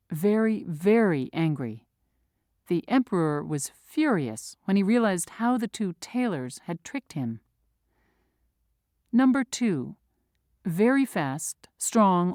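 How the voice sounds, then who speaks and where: A woman's voice: fairly low pitch, darkish tone, no echo, clean studio sound. A woman reading vocabulary words and their definitions aloud with careful dictionary-style enunciation. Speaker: vocabulary reader. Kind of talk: slow, clear read-aloud of word definitions.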